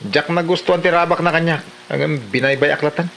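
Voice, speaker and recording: A slightly high-pitched village man played by a man, aggrieved and complaining. It is comedy drama acting in a northern Philippine accent, with faint sizzling behind. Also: steady tone, fairly quick, creaky, fairly bright tone, slight background noise.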